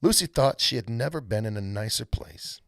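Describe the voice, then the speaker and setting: A man reading a storybook aloud as an expressive narrator. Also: mid-range voice, normal speed, gravelly, no room sound, clean studio sound. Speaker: read-aloud host, storyteller.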